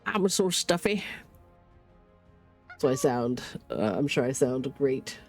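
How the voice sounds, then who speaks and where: A woman's voice: rumbling low, very animated, takes it slowly, soft background music. A woman in her thirties streaming from home with a stuffy sinus cold, rambling casually, swearing and laughing.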